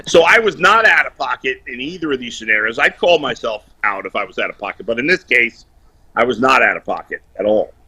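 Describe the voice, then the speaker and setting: A man calling in to a sports talk show, loud, blunt and opinionated, bantering casually over a video call. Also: medium pitch, lively intonation, fairly quick, breathy edge. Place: remote video call while on vacation.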